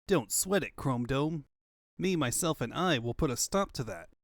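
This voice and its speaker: Brash young male hedgehog hero for a comic dub, voiced by a man: cocky, slangy, wisecracking, very animated. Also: mid-range voice, moderate pace, no room sound, studio quality, lightly acted. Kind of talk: comic-book fandub cartoon acting.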